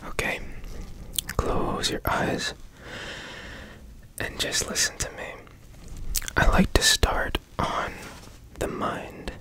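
A man guiding a meditation in ASMR style, in a soft breathy whisper with gentle, soothing instruction, close to the microphone. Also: slow, long pauses, poor audio. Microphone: close studio microphone.

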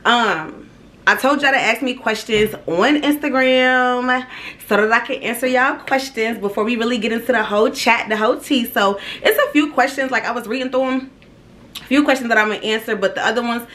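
A young woman in her twenties from Ohio, telling her story to viewers in a casual, animated vlog and calling them "y'all". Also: on the high side. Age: 20s. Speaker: vlogger. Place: small indoor room.

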